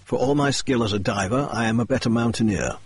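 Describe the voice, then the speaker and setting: A man voicing a calm, dry, precise male detective, measured and composed in a slightly lowered husky voice. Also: dry recording, natural voice. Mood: steady.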